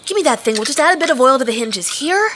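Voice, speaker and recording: A woman's voice: sits high, expressive, quick pace, fairly bright tone, a little hiss or hum. A woman playing a plucky, sarcastic young farm girl in an audio drama, wry, blunt and exasperated.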